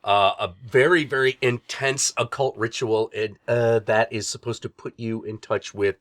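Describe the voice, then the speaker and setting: A man's voice: medium pitch, fairly even intonation, moderate pace, fairly bright tone. A man recovering from a cold, co-hosting a casual horror movie review, blunt and swearing freely. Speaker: co-host.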